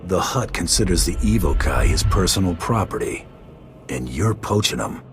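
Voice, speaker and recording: Very deep, stern game hero. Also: male voice, animated, faint music behind. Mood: stern, calm. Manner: terse, measured. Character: stern, cool-headed male hero for hire. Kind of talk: video game voice acting.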